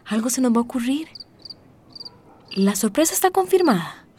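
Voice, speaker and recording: Breezy woman, fairly high voice. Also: big pitch swings, moderate pace, darkish tone, slight background noise. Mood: reassuring. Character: breezy, reassuring woman planning a family meal. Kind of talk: radio drama acting.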